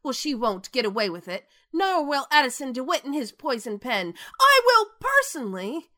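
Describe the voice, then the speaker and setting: A woman playing a sharp-tongued mature stage actress in an audio drama, sardonic and declamatory in delivery. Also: sits high, big pitch swings, normal speed, full character voice. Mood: scornful.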